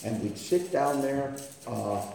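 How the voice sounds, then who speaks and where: A man preaching a sermon, swinging between hushed storytelling and loud, impassioned emphasis. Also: medium pitch, lively intonation, takes it slowly, vocal fry. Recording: echoey room with faint noise.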